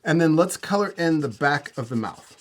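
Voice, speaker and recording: A man's voice: on the high side, expressive, moderate pace. Man giving an encouraging kids' drawing lesson. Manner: encouraging, simple child-friendly instructions. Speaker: host teaching drawing to children. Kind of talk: casual step-by-step art tutorial.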